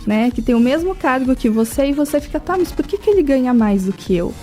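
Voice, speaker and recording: A woman's voice: sits high, lively intonation, fairly quick, mellow, soft background music. A woman with a southern Brazilian accent explains things in a didactic way in a radio interview, often asking rhetorical questions and adding check tags. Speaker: interview guest from a language school.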